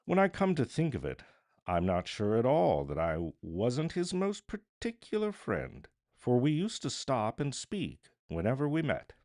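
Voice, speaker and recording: A huge, idle storybook gentleman voiced by a man, callous and jocular. His offhand remarks swing widely in pitch and carry a creaky edge. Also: wildly swooping pitch, a little slow, dry recording, clean studio sound. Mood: jovial, callous. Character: great fat big-chinned gentleman, idle, callously flippant.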